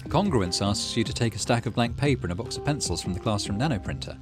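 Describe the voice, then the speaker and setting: A man's voice: fairly low pitch, animated, fast talker, over music. A man hosting a gaming video, reading the game's text aloud and musing casually to himself as he plays. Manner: thinks aloud, murmurs to himself. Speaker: gaming channel host. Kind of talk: reading game narration aloud, casual musing while playing.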